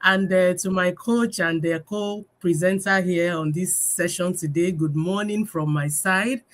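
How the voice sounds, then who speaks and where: A woman's voice: lowish voice, steady tone, measured pace. Woman hosting a live stream. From Nigeria. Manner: warm greetings, many fillers, laughs often. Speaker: host. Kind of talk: live-stream business talk.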